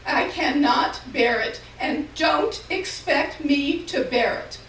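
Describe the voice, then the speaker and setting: Woman reading aloud as an 80-something mum, bossy and lost at once, voice pushed way up high, roomy hall, mic kinda far. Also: lively intonation, slow pace, slight background noise, exaggerated voice. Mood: anguished. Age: 80s. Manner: sharp, demanding, suddenly plaintive. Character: imperious, bewildered elderly mother in her eighties. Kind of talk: voiced character in a live story reading. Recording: roomy hall, recorded from a distance.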